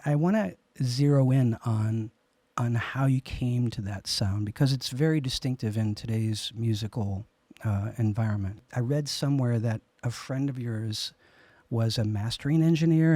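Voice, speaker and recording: A man hosting a radio interview in a studio, asking thoughtful questions with pauses and "um"s. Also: mid-range voice, warm tone, no echo. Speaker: radio DJ and host.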